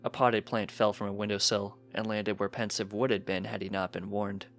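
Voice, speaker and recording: A male narrator reads fiction in a scripted storytelling style. His pitch sits a little low, and music plays behind the voice. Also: flat delivery, moderate pace, fairly bright tone. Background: background music.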